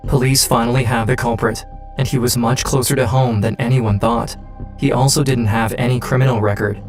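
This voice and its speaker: A man's voice: mid-range voice, fairly even intonation, a little fast. A man reading scripted true-crime documentary narration. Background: faint music.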